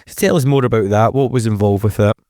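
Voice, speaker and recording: Upbeat radio host. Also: male voice, lowish voice, a little mellow, dry recording, clean studio sound. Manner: upbeat and encouraging, with a quick stock of praise. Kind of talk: local radio interview.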